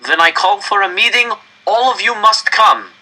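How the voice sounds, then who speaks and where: A man voicing a desperate male council director in a story, addressing an angry crowd with loud, pleading oratory. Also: high voice, moderate pace, voice pushed up, exaggerated voice, rough recording. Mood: stern.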